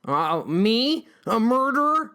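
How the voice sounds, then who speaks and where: A man's voice: very high voice, big pitch swings, takes it slowly, sharp tone, clear, resonant tone, voice pushed up, exaggerated voice. A man voicing a naive, put-upon young boy in a comic story, hesitant and plaintive. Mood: taken aback.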